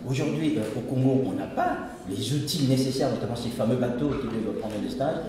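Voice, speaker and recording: Guy firing off quick interview questions, lots of "en fait", big reverby room. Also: medium pitch, mellow, slight background noise. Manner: quick, filler-heavy questions. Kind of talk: on-site interview. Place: large reception room at an embassy. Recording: echoey hall, far mic, crowd behind.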